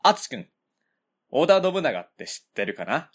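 Cast a woman as a folksy Kansai small-factory owner, late thirties, deep and lively, faint music behind. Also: moderate pace. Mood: storytelling. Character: easygoing 39-year-old male small-factory owner. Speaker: narrator and lead character. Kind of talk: amateur web radio drama.

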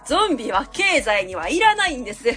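Actress as a self-assured female consultant, high swooping voice, cold, didactic radio drama lecture. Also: very animated, a little fast, pushed and bright, soft background music, heavily acted. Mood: dismissive, cold. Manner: didactic, asks and answers own questions. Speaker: actor in a web radio drama. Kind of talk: radio drama acting, delivering a presentation.

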